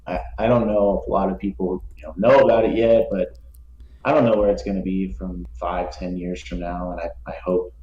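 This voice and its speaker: A man's voice: sits low, fairly even intonation, brisk. A man speaking as a guest artist in casual chat, modest and hesitant. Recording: muffled, echoey online call with hiss.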